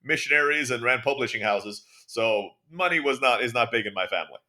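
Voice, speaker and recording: A man with an American accent, guest on a podcast, telling jokey stories in a quick, casual way. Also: medium pitch, expressive, pushed and bright. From Turkey. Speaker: guest, online school founder.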